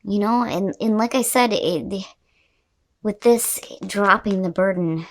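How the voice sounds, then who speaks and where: A woman with a medium-pitched voice reads tarot cards for her audience. She speaks casually and without a script, stressing her points and talking straight to the listener. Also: measured pace. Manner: conversational and emphatic, with mild swearing. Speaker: tarot reader, host. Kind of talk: casual unscripted tarot reading addressed to viewers.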